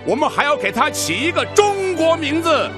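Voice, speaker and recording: High, soaring, resolute institute leader. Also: male voice, very animated, normal speed, loud music behind the voice. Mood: resolute, rousing. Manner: declamatory, emphatic. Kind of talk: radio drama oratory.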